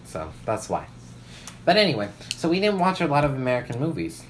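A woman with a strikingly low voice that moves around a lot in pitch. She banters casually and states her opinions with emphasis, swearing freely. There is noticeable noise behind her. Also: sing-song, fairly quick. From Puerto Rican family background.

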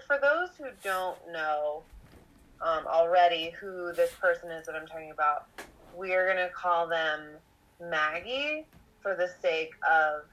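A woman's voice: fairly low pitch, a bit bright, highs cut off. A woman of twenty-eight chatting on a podcast, rambling and self-reflective, laughing now and then. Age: 20s. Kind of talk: casual conversational storytelling.

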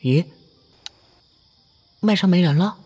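A man's voice: sits high, slow, darkish tone, breathy edge, long reverb tail, heavily acted. A man playing a flustered young college student in an audio drama, easily exasperated, with a hesitant, sighing delivery. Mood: dismayed. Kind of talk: Chinese online audio drama acting. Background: faint music.

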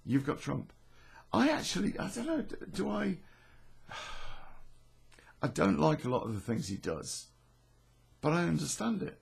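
A British man with a hoarse, croaky voice, hosting a podcast in a rambling, self-deprecating, sweary way. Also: a little high, very animated, unhurried, pauses often. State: hoarse from illness. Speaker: podcast host and storyteller. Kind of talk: casual chatty intro to a podcast.

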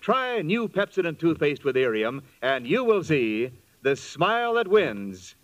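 A man's voice: a little high, big pitch swings, a little slow, a bit bright, no echo. A man reading a vintage radio commercial as announcer, polished and emphatic. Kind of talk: 1940s radio advertising read. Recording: dull vintage radio recording.

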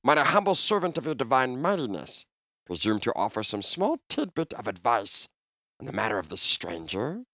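A man's voice: mid-range voice, wildly swooping pitch, normal speed, sharp tone, no echo, studio quality, voice pushed up, full character voice. A man voicing a sly, mocking little goblin with oily, fawning, questioning speech in a fantasy audiobook. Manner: obsequious flowery speech, all in questions.

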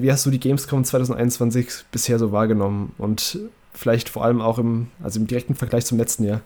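A young man hosts a laid-back podcast while fighting off a cold, so his nose sounds stuffed up. His voice is dark and mellow, at an ordinary pitch for a man. He talks easily and restarts his sentences now and then. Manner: relaxed, conversational, with fillers and restarts. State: congested from a cold. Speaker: podcast host. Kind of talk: casual podcast chat.

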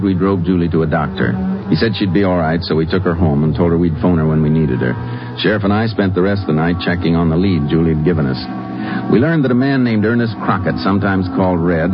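An actor playing a calm, businesslike Texas Ranger who investigates and narrates, matter-of-fact and polite, in 1950s radio crime drama style. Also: male voice, deep voice, fast, music in the background, natural voice. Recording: muffled 1950s radio recording.

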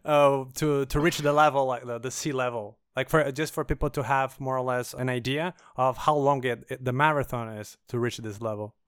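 Smooth-voiced host, man. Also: mid-range voice, fairly even intonation, normal speed, hardly any pauses, fairly bright tone, no room sound. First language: Portuguese. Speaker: podcast host. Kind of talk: hosting an interview.

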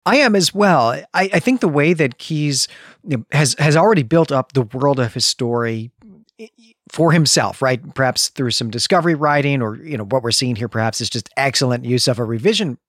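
A man co-hosting a podcast, explaining and recapping fiction conversationally in measured phrases on a close microphone. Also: mid-range voice, no echo. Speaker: podcast co-host. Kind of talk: literary discussion and recap, conversational.

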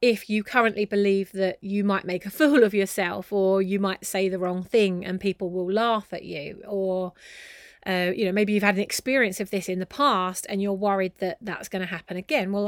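A woman host giving a motivational coaching talk to viewers, speaking emphatically and deliberately, often building lists of repeated phrases. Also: mid-range voice, no room sound, clean studio sound.

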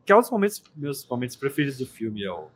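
A man's voice: mid-range voice, big pitch swings, fast, breathy edge. Casual co-host: Brazilian man, ordinary mid-range voice, easygoing and slangy. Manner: laid-back slangy talk, laughs often. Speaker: podcast co-host. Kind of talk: casual film review chat.

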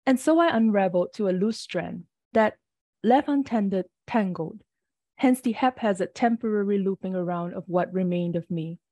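Mellow-voiced woman reading poetry. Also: medium pitch, dry recording. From Singapore. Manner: measured, deliberate reading with brief asides. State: slightly off early-morning voice. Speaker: poet.

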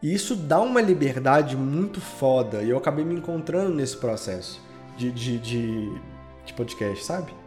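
Brazilian male podcast guest, mid-pitched, enthusiastic and slangy, loose conversational delivery, light music bed. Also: animated, moderate pace, darkish tone. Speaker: podcast guest, audio drama creator and editor. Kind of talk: casual interview chat.

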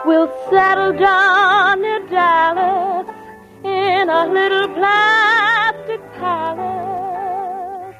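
A woman's voice: very high voice, fairly even intonation, extremely slow. A woman singing a sentimental 1940s song on the radio. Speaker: singer. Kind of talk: 1940s sentimental swing-era song, sung. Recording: dull old radio recording, music behind.

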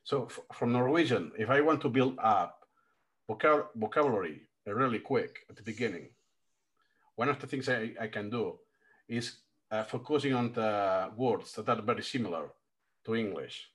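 A man's voice: fairly low pitch, expressive, moderate pace. A man in a casual call, explaining a language-learning idea step by step with frequent restarts. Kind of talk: casual video call chat.

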